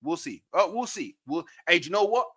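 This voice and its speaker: A man's voice: a little high, big pitch swings, a little fast, sharp tone, breathy edge. A man in casual, slangy football banter as a fan panellist, often tagging his points with "innit". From England.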